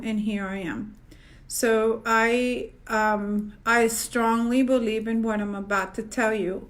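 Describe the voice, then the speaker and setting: Woman, middle-aged, psychic, earnest, halting, many fillers, slightly high voice. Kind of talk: solo predictions monologue to camera.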